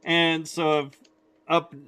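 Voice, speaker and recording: A man from Minnesota with a Minnesotan American accent, hosting a podcast in easygoing, halting casual chat. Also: fairly high pitch, completely flat delivery, sharp tone, clear, resonant tone, no room sound. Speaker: podcast host.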